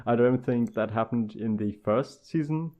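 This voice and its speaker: A man co-hosting a podcast, casually discussing television in a tentative, halting way. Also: mid-range voice, warm tone. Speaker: podcast co-host. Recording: dull lo-fi sound.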